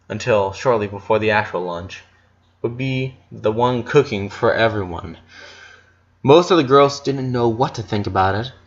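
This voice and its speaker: Man narrating, slightly muffled recording. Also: mid-range voice. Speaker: audiobook narrator. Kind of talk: dramatic fan fiction narration. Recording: slightly dull, muffled audio.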